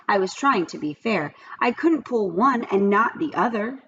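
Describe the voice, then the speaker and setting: A woman's voice: mid-range voice, lively intonation, normal speed, a bit bright, muffled recording, slight background noise, voice pushed up, natural voice. A woman voicing a hesitant, anxious schoolboy in a children's story. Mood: earnest. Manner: hesitant, protesting. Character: anxious schoolboy wrestling with temptation.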